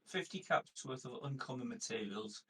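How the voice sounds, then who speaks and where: A man's voice: medium pitch, expressive, moderate pace, fairly bright tone. A man playing a tabletop game, talking casually and explaining things methodically.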